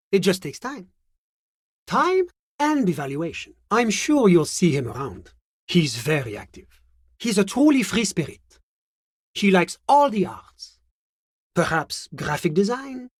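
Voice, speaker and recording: Suave, evasive bureaucrat with swooping intonation. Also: male voice, sits high, no room sound, clean studio sound. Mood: insistent, evasive. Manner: urbane, coy, condescending, theatrical. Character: suave, evasive male international bureaucrat, smug and genteel. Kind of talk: video game character dialogue.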